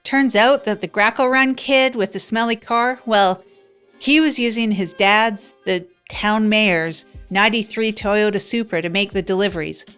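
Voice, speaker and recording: Woman, mid-pitch, deadpan small-town radio host, rambling and self-deprecating, light music under her. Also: a little slow, clean tone. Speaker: radio show host character. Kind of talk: improvised comedy radio monologue.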